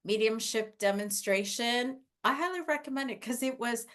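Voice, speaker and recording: A woman hosting a podcast, her voice sitting fairly high. She talks in a casual, gushing way, full of enthusiasm. She sounds like she is on a video call. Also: normal speed, fairly bright tone. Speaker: podcast host. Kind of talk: casual interview chat.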